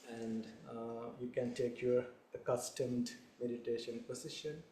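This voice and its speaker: A young male Buddhist monk from Sri Lanka guides a meditation in a calm, unhurried voice, speaking in short phrases. His pitch stays flat and even. The room sounds echoey, with a faint hum behind him.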